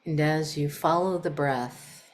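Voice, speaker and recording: A woman leading a guided meditation in a calm, unhurried, soft-spoken way with long pauses. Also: low voice, low-quality recording.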